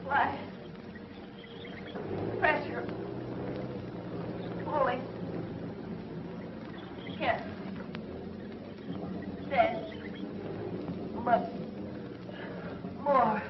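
A woman's voice: high-pitched, extremely slow, long pauses. Young spaceship crewwoman, echoey. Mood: straining, pained. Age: young adult. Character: earnest, anxious young female rocket crew member. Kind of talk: 1950s television serial acting. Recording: dull, echoey, noisy 1950s TV soundtrack.

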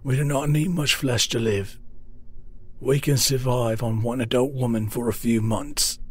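A man voicing a grotesque, remorseful man-eating beast in a fantasy horror story, speaking gravely and in measured phrases. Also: mid-range voice, fairly even intonation. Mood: grave confession. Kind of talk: dramatised fantasy horror audiobook.

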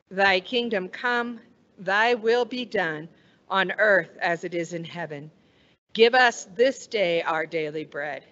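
Middle-aged woman pastor, slow and solemn. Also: mid-range voice, highs cut off. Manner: measured, warm liturgical delivery with slow solemn phrases. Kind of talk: leading communion liturgy. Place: church sanctuary. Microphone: stand mic at a lectern, far camera.

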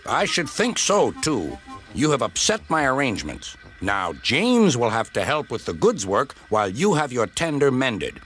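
A man's voice: medium pitch, very animated, normal speed, a bit bright, soft background music, voice pushed up. A man voicing a stern, fussy railway controller in a children's storybook. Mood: disappointed, stern.

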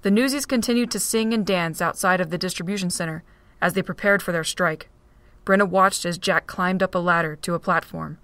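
A woman's voice: on the low side, bright, forceful tone, no room sound. A woman narrating a fan-made audio drama, reading steadily.

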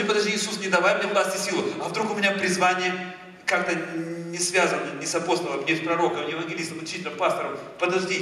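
Moldovan-accented preacher in an echoing hall. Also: male voice, sits high, sharp tone, a little hiss or hum. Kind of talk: lively charismatic sermon. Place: large church hall with a stage. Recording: echoey church hall, far from the mic.

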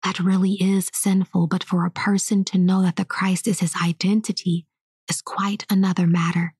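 A woman whispering a slow guided meditation and spiritual teaching in a soft, hushed voice. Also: lowish voice, level tone, breathy edge, no room sound, studio quality.

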